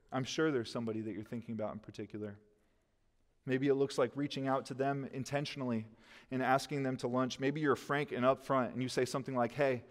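Earnest pastor guy at a lectern, mid-pitch voice, sound rings out in a big room. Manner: earnest, gesturing preacher who quotes scripture. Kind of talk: sermon. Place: church stage behind a lectern, indoors. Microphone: headset mic. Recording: long hall reverb.

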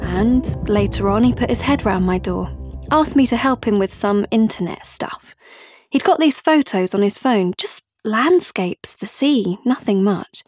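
An actress playing a blunt teenage sister, chatty and wry, in an audio drama monologue. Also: female voice, mid-range voice, lively intonation, unhurried, a little mellow, slightly rough, no room sound, soft background music. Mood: matter-of-fact.

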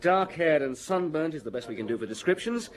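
Man playing higher-voiced British police detective. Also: animated, brisk, close to an everyday voice. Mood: dour, businesslike. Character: keen, grumbling subordinate police detective. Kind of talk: British radio drama acting.

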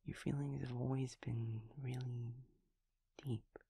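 A man voicing a sweet, naive, cheerful young daemon friend in an intimate audio roleplay, with soft, breathy speech and gentle, halting rambling. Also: mid-range voice, flat delivery, no room sound, exaggerated voice. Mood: gentle, reassuring. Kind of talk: intimate audio roleplay acting, one-sided conversation.

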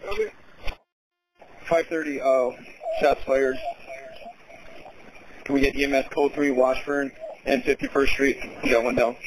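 A man's voice: mid-range voice, fairly even intonation, a little slow, long pauses. A man, a police officer, giving terse, urgent reports over the radio with call signs. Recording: hissy police radio transmission.

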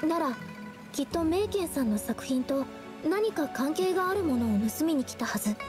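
An actress playing a polite, timid young woman artist doing detective work in an anime game, speaking softly and hesitantly. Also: high voice, lively intonation, moderate pace. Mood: thoughtful, explaining. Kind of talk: Japanese anime game voice acting. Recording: music behind the voice.

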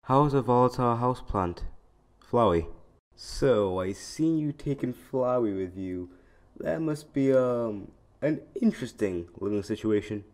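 A man's voice: mid-range voice, lively intonation, unhurried, darkish tone. A man casually reading out fan questions for a comic dub.

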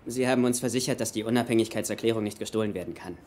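A man's voice: lowish voice, racing, darkish tone, a little hiss or hum, lightly acted. Man acting a nervous, wisecracking young sidekick. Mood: dry. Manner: sarcastic, stammering when agitated. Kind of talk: German film dubbing.

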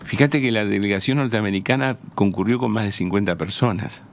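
A man in his sixties with a Uruguayan accent, reminiscing in a measured, hesitant way as a guest in a radio interview. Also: fairly low pitch. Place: small radio studio. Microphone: close studio microphone on a stand.

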